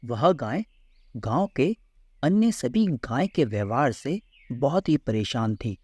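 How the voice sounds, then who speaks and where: A man narrates a children's story at an unhurried pace. His intonation rises and falls in a lively way, and the recording is close and dry. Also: medium pitch, slightly rough, no room sound.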